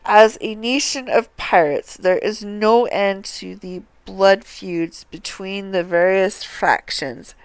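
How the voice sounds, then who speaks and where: A woman streamer reading fantasy game dialogue aloud in a measured, deliberate way, close to the microphone. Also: medium pitch, clean tone, dry recording, low-quality recording.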